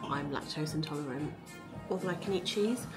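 A woman's voice: deep voice, brisk, loud music behind the voice. A woman in her thirties, a travel vlogger, talking chattily to a handheld phone camera outdoors. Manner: chatty, upbeat, speaking straight to camera. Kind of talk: casual travel vlog narration. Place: outdoors on busy city streets and park paths. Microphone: handheld phone camera at arm's length. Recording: outdoor phone recording with street noise. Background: traffic and street noise.

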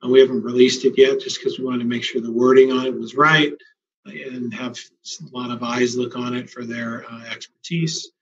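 Mid-pitched man on a muffled video call. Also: level tone, normal speed. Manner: conversational, "uh" fillers. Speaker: school district administrator. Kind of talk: answering staff questions in a town hall. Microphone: video call webcam. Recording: dull lo-fi video call audio.

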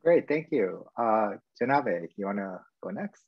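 A man moderating a video panel, handing over with brief, low-key prompts. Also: expressive, normal speed, breathy edge, no room sound. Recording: dull, dry call audio.

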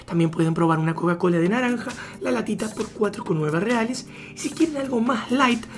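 A woman narrating a travel vlog voice-over, briskly listing supermarket prices one after another. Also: medium pitch. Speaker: travel vlogger. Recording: voice-over with music underneath.

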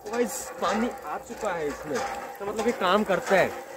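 A young man vlogging a ghost challenge on a dark rural road at night, talking excitedly to his viewers from the road and from inside a car. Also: sits high, moderate pace. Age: young adult. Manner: excited, addresses viewers as guys. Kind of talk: ghost-hunting night vlog.

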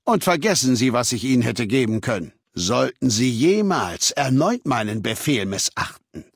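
An actor playing a cold, arrogant warlord dictator in a video game, speaking with condescending, menacing authority. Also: male voice, medium pitch, big pitch swings, normal speed, gravelly, breathy edge, dry recording, heavily acted. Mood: cold, threatening. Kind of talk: video game dialogue acting. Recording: dry studio recording.

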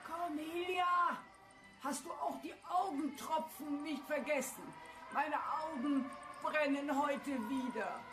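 Demanding, frail elderly mother, very slow and plaintive, echoey radio drama. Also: sits high, over music. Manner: drawn-out, plaintive calling. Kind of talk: German radio crime drama acting. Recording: echoey room, off-mic.

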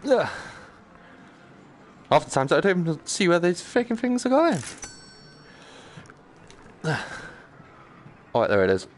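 A man's voice: sits high, huge pitch swings, measured pace, pauses often. A man chatting casually on a live game stream, joking in a slangy, sweary way. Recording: clear background noise.

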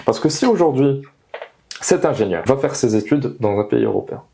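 A man's voice: mid-range voice, lively intonation, fairly quick, gravelly, dark tone, a little hiss or hum. A young man being interviewed, explaining his views earnestly and emphatically, repeating key phrases, on a lapel mic indoors. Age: young adult. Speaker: interviewed engineer. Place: small meeting room, indoors. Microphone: clip-on lapel mic.

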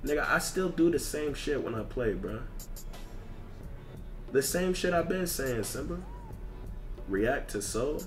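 A man's voice: medium pitch, animated, unhurried, long pauses. A man chatting casually on a livestream as a video game streamer, ranting in profane, repetitive slang. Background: music.

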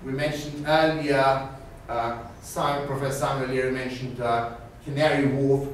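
A man's voice: medium pitch, moderate pace. Male lecturer in an echoey room. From Austria. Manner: animated, gestures widely, peppers talk with "okay?". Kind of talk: university finance lecture. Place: small classroom with screen and whiteboard. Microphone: far camera. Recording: very echoey room, from far off, faint hiss.

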